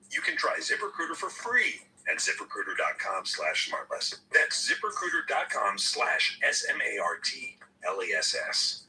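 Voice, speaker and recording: A man hosting a podcast, reading sponsor copy in a playful, animated way. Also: mid-range voice, far from the mic, slight background noise, low-quality recording. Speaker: podcast host. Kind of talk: sponsor read, partly scripted, with banter.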